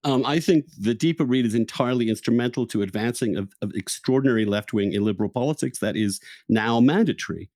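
A middle-aged man hosting a podcast and arguing politics in a blunt, emphatic, conversational way, quick to interject. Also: mid-range voice, darkish tone, dry recording, studio quality. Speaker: podcast host. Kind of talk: heated, conversational political debate.

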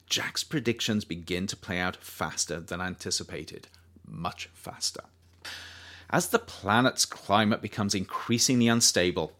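Man, author reading his own book, low voice, very lively pitch, measured audiobook narration. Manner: measured, lively intonation with emphatic rises.

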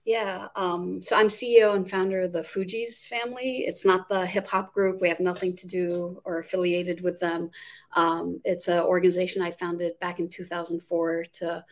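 Low gravelly woman, steady flat delivery. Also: normal speed. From Jordan. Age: 40s. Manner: earnest, explaining at length with fillers. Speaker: guest, nonprofit founder. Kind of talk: casual interview chat.

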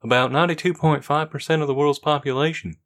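An excitable tech enthusiast, played by a male narrator talks in a lifted, sales-pitch tone. Every point lands with emphasis. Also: quick pace, natural voice.

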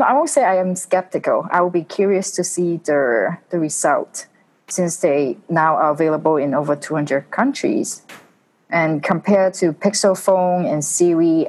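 A woman from Hong Kong co-hosting a tech podcast, speaking carefully with a slightly halting manner. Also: sits low, a little mellow, poor audio. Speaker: podcast co-host. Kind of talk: casual tech chat.

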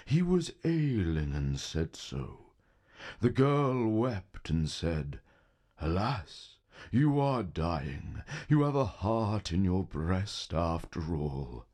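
A man's voice: deep voice, sing-song, darkish tone, full character voice. A man playing a brooding, forgetful spirit who guards graves, telling old tales in a solemn theatrical manner for an audio play. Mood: ominous. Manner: solemn, theatrical, deliberate storytelling. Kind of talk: audio play with verse recitation.

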